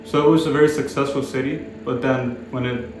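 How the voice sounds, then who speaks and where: Young man from the Dominican Republic, 20s; measured, even delivery, very roomy echo and music under. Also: medium pitch. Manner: measured and explanatory. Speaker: planning graduate and local resident. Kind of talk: documentary interview. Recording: very echoey room with music behind.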